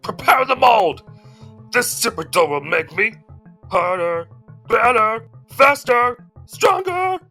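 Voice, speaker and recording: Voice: mid-range voice, big pitch swings, slow, bright, forceful tone, soft background music, heavily acted. A performer voicing a vain, vengeful cake supervillain in a cartoon fandub, grandiose and scheming. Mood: gloating.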